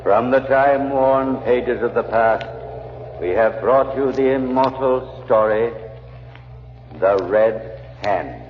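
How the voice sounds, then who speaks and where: An actor playing a solemn, ominous storyteller, announcing an old radio horror show in a portentous, drawn-out way. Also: male voice, medium pitch, close to an everyday voice. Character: solemn, ominous storyteller from the time-worn past. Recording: echoey, muffled, faintly noisy old radio recording.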